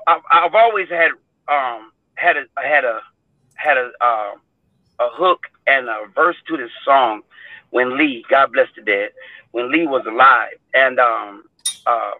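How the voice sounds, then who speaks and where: Hoarse man on a tinny phone line. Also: mid-range voice, no room sound. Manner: rambling, repeats words, preachy about God. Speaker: guest singer. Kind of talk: casual chat and a cappella singing.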